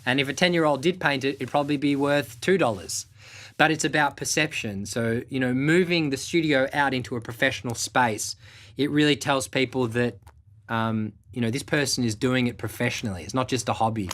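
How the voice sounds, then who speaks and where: Young man, frank motivational vlog chat, easygoing, mid-pitched voice, swears casually. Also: a little fast, a bit bright. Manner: candid, laid-back, swears casually, lots of "you know" and "um". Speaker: music producer and show host. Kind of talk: casual motivational talk to camera.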